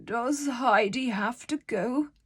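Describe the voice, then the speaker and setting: A weary old grandfather from a children's storybook, acted out by a woman. He sounds worried and plaintive, and he speaks very slowly. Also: fairly high pitch, husky, no echo. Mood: sad.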